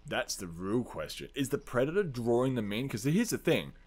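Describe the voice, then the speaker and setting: A man, co-hosting a podcast in a casual, animated, rambling and sweary debate about science fiction. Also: mid-range voice, normal speed. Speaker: podcast co-host.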